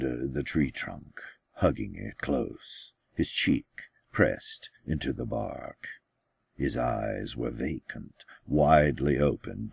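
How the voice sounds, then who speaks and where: Guy reading an audiobook real slow, husky gravelly voice, super close and dry sound, measured phrasing. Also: a bass voice, darkish tone, no echo. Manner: deliberate, measured phrasing with pauses. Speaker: author reading own book. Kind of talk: slow scripted audiobook narration.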